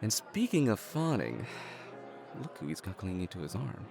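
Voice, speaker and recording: Lowish, wry big brother. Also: male voice, very animated, moderate pace, creaky, over music. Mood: sarcastic. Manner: dry, sarcastic understatement. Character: wry, easygoing adult elder brother, tycoon's son. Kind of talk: naturalistic audio drama acting.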